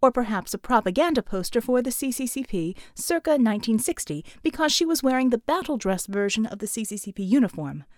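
A woman's voice: on the high side, big pitch swings, a little fast, few pauses, darkish tone, no echo, studio quality. A woman reading an audiobook, narrating fiction expressively from a script.

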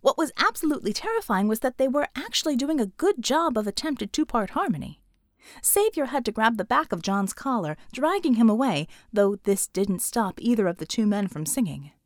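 A woman's voice: fairly high pitch, very animated, brisk, no echo, studio quality. A woman reading an audiobook, narrating fiction expressively from a script.

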